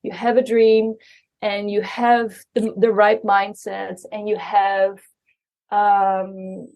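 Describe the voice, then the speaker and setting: A woman's voice: mid-range voice, flat delivery, slow pace, a little mellow. A woman in her thirties from the Netherlands, a guest chatting casually with lots of ums and restarts. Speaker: guest, marketing strategist. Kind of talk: casual conversation. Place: co-working space.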